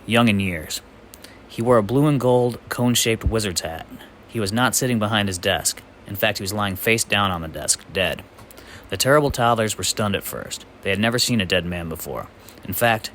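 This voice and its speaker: A man reading absurd comic literary snippets aloud in a deadpan storytelling manner. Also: fairly low pitch, a little hiss or hum. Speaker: amateur reader.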